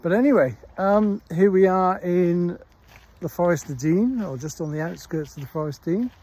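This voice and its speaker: Mellow-voiced Welsh man rambling on a walk. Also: sits high. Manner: rambling, unhurried chat with frequent pauses. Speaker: vlogger. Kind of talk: casual walking vlog. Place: outdoors on a countryside dog walk. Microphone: handheld phone.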